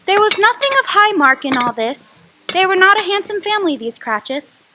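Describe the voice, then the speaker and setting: A woman narrating a radio drama with expressive storytelling. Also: very high voice, moderate pace, noisy background.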